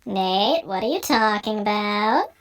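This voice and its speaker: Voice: medium pitch, lively intonation, measured pace, fairly bright tone, low-quality recording. A performer voicing a cocky, articulate young male card duelist in an anime fan production. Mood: baffled.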